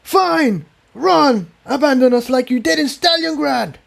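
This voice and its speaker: A man acts out a young male pony hero from an adventure storybook. The voice jumps high and strained with emotion, urgent and distraught. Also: lively intonation, a little slow, vocal fry, a bit bright, full character voice. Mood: bitter anger. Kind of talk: storybook character voice.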